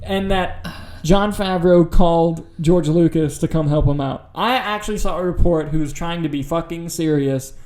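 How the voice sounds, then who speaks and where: Casual man, mellow, slightly higher voice. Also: moderate pace, hardly any pauses. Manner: profane, bluntly exasperated. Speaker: podcast co-host. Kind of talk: casual opinionated chat about film news.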